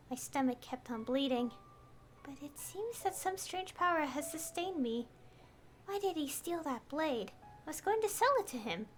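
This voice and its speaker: A woman streaming a game, reading its text aloud with dramatic delivery. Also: high voice.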